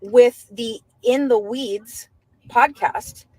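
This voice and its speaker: A woman's voice: a little high, drawn-out words, a little mellow, no room sound, rough recording. A woman hosting an online update for her followers, speaking in an emphatic, dramatic way. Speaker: online show host. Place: outdoors by a courthouse.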